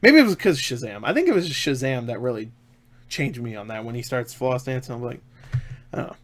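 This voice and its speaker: A man's voice: medium pitch, expressive, a little fast. A man giving a casual, unscripted film review in an enthusiastic, emphatic, rambling way, often repeating himself.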